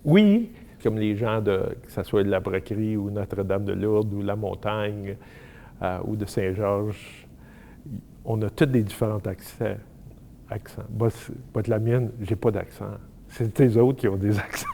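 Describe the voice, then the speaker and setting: A man telling about his in a halting, self-mocking way, slipping into English words. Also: lowish voice, gravelly, warm tone, a little hiss or hum. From Manitoba. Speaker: interviewee. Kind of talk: testimonial talk.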